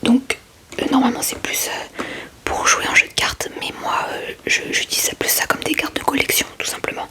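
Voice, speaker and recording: A woman whispers a gentle, hesitant, unhurried ASMR commentary close to the microphone as she hosts. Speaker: ASMR host showing a card collection.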